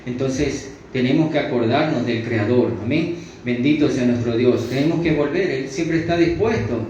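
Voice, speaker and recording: A man's voice: mid-range voice, a little mellow. A man with a Latin American Spanish accent teaching the Bible in an extemporaneous sermon, repeating himself and punctuating his phrases with amen. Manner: punctuates phrases with amen, repetitive, halting restarts. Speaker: religious teacher, preacher. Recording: very echoey room, distant mic, dull sound, faint noise.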